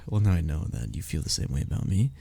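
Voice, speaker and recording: A man acting a lovesick, anxious young man in an intimate romantic audio roleplay, with a halting, emotional delivery and breathy murmurs. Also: low voice, big pitch swings, dark tone, full character voice. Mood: relieved, playful, smitten. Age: young adult. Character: lovesick, anxious young man confessing to childhood best friend.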